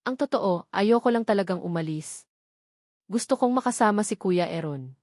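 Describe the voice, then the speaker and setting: A woman's voice: medium pitch, expressive, normal speed, a little mellow, no room sound, studio quality. A woman with a Filipino accent giving a scripted first-person narration of a romantic drama. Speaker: narrator of audio love stories.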